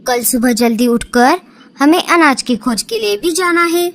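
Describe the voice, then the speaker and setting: A woman voicing cartoon birds, speaking in a slow, sing-song storybook way. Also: high-pitched. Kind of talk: cartoon dialogue acting.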